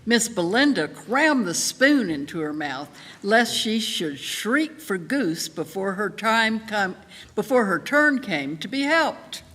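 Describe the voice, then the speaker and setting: A woman's voice: mid-range voice, sing-song, slow, a bit bright. A woman narrating a Victorian tale in a live dramatic reading. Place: live in a church. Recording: reverberant hall.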